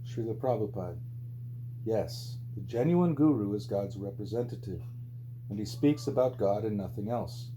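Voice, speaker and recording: A man reading a spiritual book aloud as scripted audiobook narration, with a mellow voice. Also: lively intonation, normal speed, rough recording. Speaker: audiobook reader. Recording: low hum and background noise.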